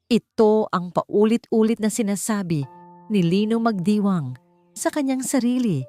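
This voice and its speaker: A woman with a Filipino accent narrating a story in a measured, dramatic, suspenseful audiobook style. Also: fairly low pitch, a little mellow.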